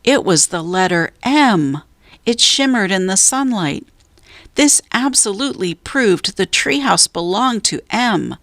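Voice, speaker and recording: A woman reading a children's story aloud as a narrator, in a measured, deliberate way with drawn-out emphasis. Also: mid-range voice, lively intonation, a bit bright, dry recording. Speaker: storybook reader.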